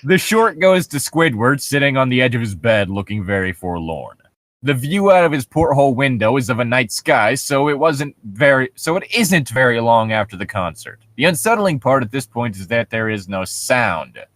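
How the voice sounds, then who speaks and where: A man's voice: mid-range voice, big pitch swings, moderate pace, a bit bright, no echo. Irreverent guy co-hosting, dry close sound. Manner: irreverent, sweary. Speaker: co-host. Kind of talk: casual joking commentary on stories read aloud.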